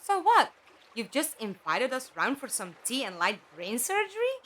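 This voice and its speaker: An actress in a fan audio drama playing a wry, sceptical, questioning companion to a time traveller. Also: female voice, high-pitched, sing-song, normal speed, fairly bright tone, slight background noise.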